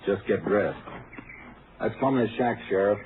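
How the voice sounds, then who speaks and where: A stern, steady lawman, acted by a man in a 1950s radio crime drama. His low voice is clipped and probing, coming through a thin, clearly hissy vintage recording. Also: normal speed, lightly acted. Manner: clipped, probing, matter-of-fact. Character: stern, steady Texas Ranger investigator. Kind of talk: old-time radio drama acting and narration. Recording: hissy, narrow-band 1950 radio recording.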